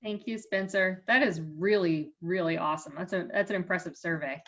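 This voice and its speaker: A woman's voice: fairly low pitch, a little fast. Upbeat woman moderating, slightly muffled line. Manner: upbeat, appreciative. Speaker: call moderator. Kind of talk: casual, chatty moderating. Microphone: conference call line. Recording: slightly muffled conference call audio.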